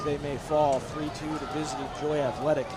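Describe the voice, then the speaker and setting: Excitable high-voiced soccer commentator. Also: male voice, normal speed. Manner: excitable, voice jumps up on big chances. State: losing his voice. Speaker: play-by-play commentator. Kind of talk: live soccer play-by-play. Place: outdoor soccer field. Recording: loud crowd and outdoor noise. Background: cheering crowd.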